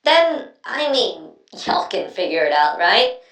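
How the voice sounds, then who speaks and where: A woman's voice: mid-range voice, sing-song, takes it slowly, a bit bright. A woman voicing a friendly, earnest young female inventor in a video game, talking in a casual, chatty, slightly hesitant way. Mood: earnest, hopeful. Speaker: video game quest character. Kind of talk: scripted game voice lines, conversational. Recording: roomy, echoing, distant-sounding voice.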